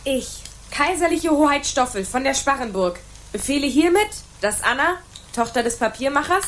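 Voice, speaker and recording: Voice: sits high, expressive, normal speed, fairly bright tone. An actor playing a cheeky young boy who puts on pompous, imperious airs in a radio play. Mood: playfully pompous. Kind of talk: German radio play acting.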